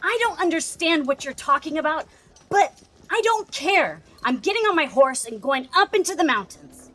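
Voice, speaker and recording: A woman's voice: high voice, sing-song, moderate pace, fairly bright tone. A woman playing a headstrong, skeptical frontier woman in a Western audio drama, blunt and defiant. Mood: defiant, fed up. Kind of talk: scripted audio drama acting.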